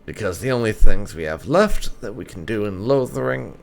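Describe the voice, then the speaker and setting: A man's voice: lowish voice, animated, normal speed, creaky, soft background music. A man giving casual commentary on his roleplay playthrough of a video game. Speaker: gamer narrating a roleplay playthrough.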